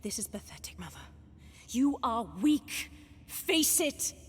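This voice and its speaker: An actress playing a proud, bitter young noblewoman with a sharp, haughty, accusing manner in a dramatic video game. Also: on the high side, lively intonation, takes it slowly, slightly rough, in a large hall, exaggerated voice. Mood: bitter, defiant.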